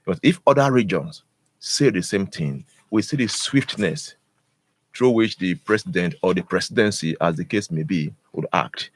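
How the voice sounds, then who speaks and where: A man giving analysis in a radio studio. His voice is very low, and he circles his points with many fillers and restarts before landing them emphatically. The mic is close and dry. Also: normal speed, husky, dry recording. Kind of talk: discussion on radio.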